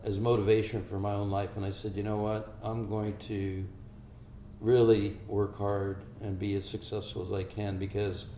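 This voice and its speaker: An older man with a low, gravelly, dark voice explains things in a measured, steady way. He sounds like a physician answering interview questions in an echoey room with faint ambience behind him. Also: slight background noise. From Hungary. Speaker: physician guest.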